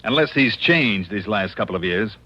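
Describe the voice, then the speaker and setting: A man's voice: lowish voice, animated, normal speed, pushed and bright, no echo. An American man playing a wry, self-assured insurance investigator in a 1950s radio drama, with a dry, clipped, teasing delivery. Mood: wry, needling. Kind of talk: 1950s radio detective acting and first-person narration. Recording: dull old radio recording with music.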